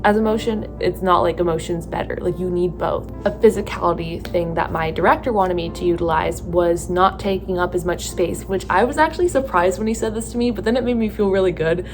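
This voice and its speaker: Tired young Californian woman, low voice. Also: over music. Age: young adult. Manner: slow, thoughtful, with many fillers and restarts. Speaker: theatre student vlogger. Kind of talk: casual reflective talk to camera.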